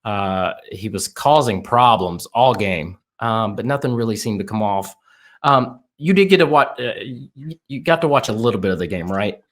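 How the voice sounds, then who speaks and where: A man hosting a casual soccer podcast, eager and talking in quick bursts as he asks his guest questions. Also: fairly low pitch, expressive. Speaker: podcast host.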